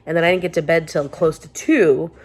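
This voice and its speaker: A woman's voice: low-pitched, fairly even intonation, a little fast, a little mellow. A woman vlogging casually to camera, chatty and upbeat, a little congested with a sore throat. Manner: chatty, upbeat, rambling with restarts. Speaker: book vlogger.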